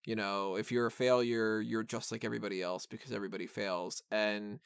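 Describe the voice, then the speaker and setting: A man's voice: fairly low pitch, steady tone, fairly quick, gravelly, smooth, dry recording, studio quality. A man hosting a podcast, discussing a film casually in a rambling, enthusiastic way. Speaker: podcast host reviewing a film.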